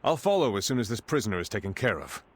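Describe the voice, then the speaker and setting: An actor playing an earnest, dutiful palace official in a video game, speaking formally and gravely. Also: male voice, on the low side, big pitch swings, quick pace. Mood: impressed. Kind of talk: video game cutscene voice acting. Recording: music behind the voice.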